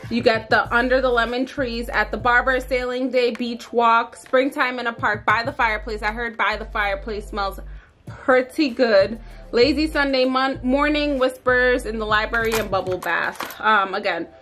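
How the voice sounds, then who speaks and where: A woman's voice: a little high, steady tone, moderate pace, fairly bright tone, faint music behind. A woman in her thirties chatting casually and tiredly to camera in a bedroom vlog, with lots of ums and likes. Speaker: vlogger. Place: bedroom at home.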